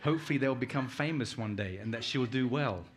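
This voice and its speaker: A middle-aged British man presents to a conference audience in a conversational way. His voice sits at a medium pitch, and he talks informally, like someone sharing personal stories and putting questions to the room. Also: fairly quick. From United Kingdom, born in Uganda. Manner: conversational, anecdotal, puts questions to listeners. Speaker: guest conference speaker.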